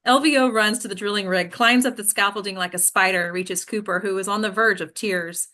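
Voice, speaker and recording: A woman's voice: medium pitch, steady tone, fairly quick, bright, forceful tone. A woman reading screenplay action lines as scripted narration at a table read. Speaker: stage directions reader.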